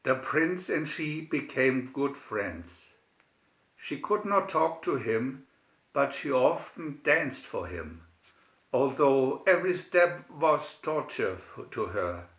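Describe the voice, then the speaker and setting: A man reading a scripted fairy tale as a storybook narrator, in a deliberate, measured way. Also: mid-range voice, sing-song, fairly bright tone, reverberant, muffled recording. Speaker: storybook reader.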